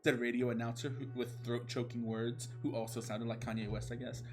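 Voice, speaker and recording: A man's voice: mid-range voice, flat delivery, a little fast, darkish tone. Casting: male host, mid-range voice, mock-dramatic story narration with casual asides, music bed. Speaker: host reading fan fiction.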